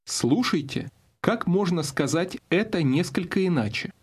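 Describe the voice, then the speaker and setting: Higher-pitched, animated male lesson narrator. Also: measured pace, husky. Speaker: language course instructor. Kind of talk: scripted audio lesson narration with prompts.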